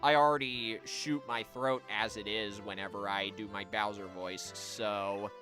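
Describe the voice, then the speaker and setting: A man live streaming a video game, chatting to viewers and commenting in an animated way. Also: lowish voice, measured pace, over music. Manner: animated, voice-acts game dialogue.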